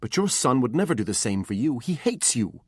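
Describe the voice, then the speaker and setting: A man voicing an anxious, earnest teenage boy apprentice who talks fast, pleading and emotional, in a storybook reading. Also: medium pitch, darkish tone, no echo, clean studio sound, pitched above the performer's own voice, lightly acted. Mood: angry.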